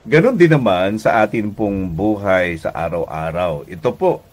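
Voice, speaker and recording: A Filipino man preaching a radio devotional as pastor and host, deliberate in delivery, with pauses and emphatic repetition. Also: fairly low pitch, lively intonation.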